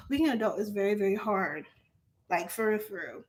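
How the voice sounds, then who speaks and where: A young adult woman vlogging in a casual, profane monologue to her viewers, animated and often laughing. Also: medium pitch, normal speed.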